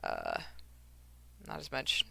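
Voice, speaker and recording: A young woman streaming from home while she knits, chatting casually and rambling a little, her voice gravelly and slightly hoarse. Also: slow pace, bright, forceful tone. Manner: rambling, chatty, with fillers and laughs. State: a bit hoarse from not talking for hours. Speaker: craft streamer. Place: at home, alone.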